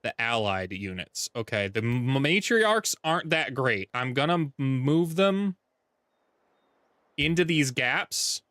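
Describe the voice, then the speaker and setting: A man with a deep voice streaming a strategy game from home, chatting casually, thinking aloud and correcting himself as he plays. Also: very animated, slow, bright, forceful tone, dry recording, clean studio sound. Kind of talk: casual gameplay livestream chat. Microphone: desk mic with noise gate.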